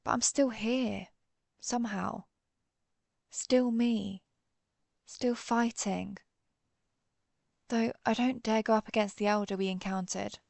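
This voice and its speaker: A woman's voice: medium pitch, gravelly, no echo. A woman playing a centuries-old vampire hunter turned vampire, guilt-ridden but resolute, in a somber, confessional audio roleplay monologue. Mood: weary, resigned, then quietly determined, remorseful. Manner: confessional, somber, measured storytelling.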